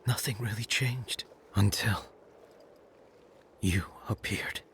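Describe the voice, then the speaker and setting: An actor playing a weary, weakened prophet bent on revenge in a video game, with a breathy, halting, grave delivery that often drops to a whisper. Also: male voice, fairly low pitch, a bit bright, slight background noise. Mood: resigned, earnest. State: coughing, weakened. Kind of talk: dramatic video game cutscene acting. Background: prominent music.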